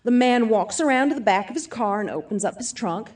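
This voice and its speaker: A woman's voice: on the high side, expressive, fairly quick, a little mellow, distant mic. An actress playing a brash, upbeat self-defense seminar speaker who addresses her audience emphatically in an audio theatre piece. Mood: dramatic storytelling. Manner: emphatic, peppy motivational-speaker delivery, folksy asides.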